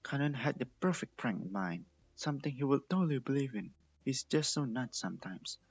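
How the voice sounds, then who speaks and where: A man's voice: medium pitch, lively intonation, a little fast, husky, dull audio, soft background music. A man reading a story aloud as the narrator. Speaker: fan fiction reader and narrator.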